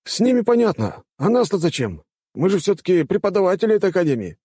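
Casting: male narrator as a bewildered magic teacher, raised pitch, protesting, fantasy storybook. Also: big pitch swings, fast, mellow, husky, no echo, exaggerated voice. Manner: perplexed, protesting.

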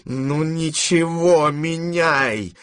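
An imperious old Russian grandmother from a classic storybook, acted by a male reader. The voice is pushed higher and louder, irritable and commanding. It breaks into impatient exclamations, recorded dry. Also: normal speed, dry recording, close to an everyday voice. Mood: indignant, commanding. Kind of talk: dramatized audiobook reading.

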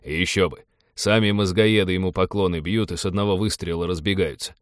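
A man's voice: sits low, fairly even intonation, a little fast, no echo, studio quality, natural voice. Man playing a brash, cynical spaceship pilot. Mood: sardonic. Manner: sardonic, colloquial.

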